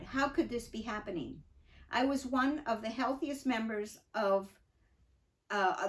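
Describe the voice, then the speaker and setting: Older woman reading her own memoir. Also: on the high side. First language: English. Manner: earnest, reflective, pauses for emphasis. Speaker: memoir narrator. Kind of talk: reading her own story aloud with asides.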